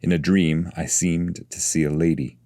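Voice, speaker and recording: Man, very deep voice, soft dark tone, measured solemn literary narration. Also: little pitch movement, no echo, studio quality. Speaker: narrator and reader of epic poetry.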